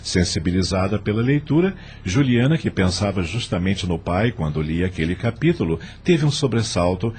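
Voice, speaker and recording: A man with a very low voice and a Brazilian accent narrates an audio novel. His intonation moves widely and dramatically, over faint background noise. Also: lively intonation, moderate pace, few pauses, darkish tone.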